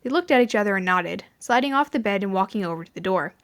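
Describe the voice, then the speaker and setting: A woman's voice: mid-range voice, expressive, quick pace. A woman reading fan fiction aloud as a podfic narrator, in storytelling prose with acted dialogue.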